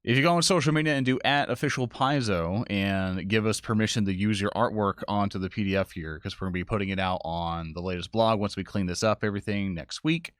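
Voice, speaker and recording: Male livestream co-host, quick pace, lowish pitch, dry sound, enthusiastic and informal, speaking straight to the chat. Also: a bit bright, clear, resonant tone, no room sound. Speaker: livestream co-host, social media producer. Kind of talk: casual livestream chat addressing viewers.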